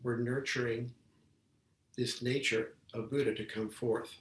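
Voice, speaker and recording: A man giving a measured Zen dharma talk as a teacher, speaking haltingly and deliberately with long pauses. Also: mid-range voice, steady tone. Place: indoor room, talk given over video call. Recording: roomy, echoey, distant microphone on video call.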